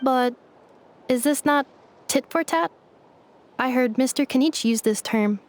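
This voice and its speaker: A woman voicing a calm female android who speaks in a formal, precise, mechanical way. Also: high-pitched, a little slow, noisy background. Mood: curious. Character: calm female android, literal-minded and polite.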